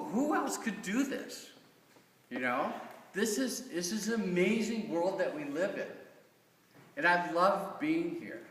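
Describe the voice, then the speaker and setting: A middle-aged man with an American accent gives an informal, earnest personal testimony to a congregation in a halting, conversational way. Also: a little high, fairly bright tone. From Kansas, United States. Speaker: church member giving a stewardship talk. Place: large reverberant room, speaking from off the mic. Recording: echoey church hall, distant mic.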